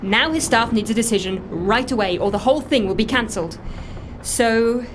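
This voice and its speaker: A woman's voice: fairly high pitch, moderate pace, noisy background, lightly acted. An actress playing an anxious, self-justifying woman in an audio drama, telling her story in a fussy, emphatic, defensive way. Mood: harried, defensive. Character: anxious, self-justifying woman confessing impersonation, earnest dog lover. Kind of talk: audio drama dialogue, first-person confession.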